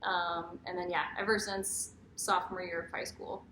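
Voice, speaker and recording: A woman's voice: lowish voice, little pitch movement, moderate pace, bright, forceful tone. Young American woman in an echoey room. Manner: informal, candid. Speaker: vlogger, co-host of couple's Q&A. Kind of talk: casual chat, personal storytelling. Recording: echoey room, faint background noise.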